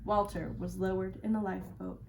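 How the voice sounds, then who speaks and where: A woman's voice: medium pitch, little pitch movement, a little fast, vocal fry, a little mellow, a little hiss or hum. A woman reading a children's picture book aloud as a storyteller, recorded at a distance from the microphone. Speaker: school storyteller.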